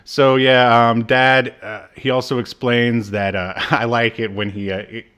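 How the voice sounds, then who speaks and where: A male podcast co-host in a relaxed chat, speaking at an ordinary middle pitch. He rambles through a plot recap, filling pauses with ums and uhs. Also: smooth. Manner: rambling, many ums and uhs, acts out characters in quotes. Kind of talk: casual chat recapping a TV episode.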